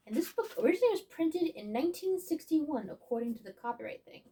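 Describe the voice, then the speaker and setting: A chatty robot storyteller, acted by a woman with a high voice that swoops up and down. The delivery is playful and sing-song, aimed at small children, with silly asides between lines of the story. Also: expressive, moderate pace, mellow. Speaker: story-time host. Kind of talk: children's read-along with asides.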